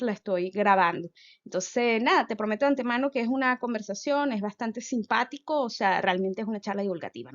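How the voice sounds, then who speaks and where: A Venezuelan woman in her thirties gives a relaxed science talk and keeps speaking straight to the listener in a casual way. Her voice sits a little high. The recording sounds dull and lo-fi. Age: 30s. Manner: chatty, addresses listener directly. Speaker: physicist giving an outreach talk. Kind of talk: informal science explainer.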